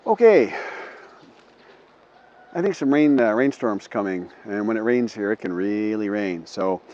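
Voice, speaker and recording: An older man from the Midwestern US with a low, deep voice talks casually to his camera. He rambles, restarts his sentences and chuckles now and then. He sits outdoors under a metal roof, with faint background noise of birds and animals around him. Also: lively intonation, measured pace, a little mellow, dull audio. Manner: rambling, self-correcting, chuckles often. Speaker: vlogger living abroad.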